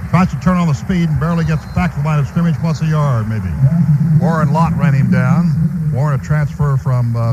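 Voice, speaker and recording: A man's voice: fairly high pitch, highs cut off. A man with an American accent calling a football game live as a radio play-by-play announcer. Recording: noisy live stadium radio broadcast. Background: loud stadium ambience.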